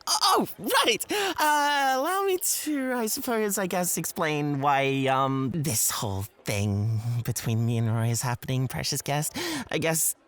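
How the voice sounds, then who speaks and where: A woman's voice: low-pitched, expressive, a little slow, hardly any pauses. A woman playing a possessive, coy club host in an ASMR roleplay, teasing and easily flustered, with theatrical phrasing.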